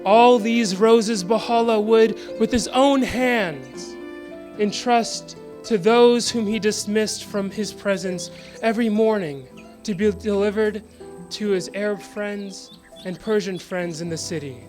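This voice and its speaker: A woman giving a scripted dramatic reading in a reverent, measured storytelling manner. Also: mid-range voice, darkish tone. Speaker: dramatic reader of a historical account. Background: music and birdsong.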